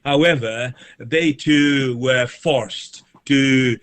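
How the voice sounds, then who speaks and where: A male interview guest with a mid-pitched voice who talks slowly. His answers are formal and scholarly, explained carefully in halting phrases. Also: fairly bright tone. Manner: formal, academic wording, halting delivery. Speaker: guest, reverend and mission organisation officer.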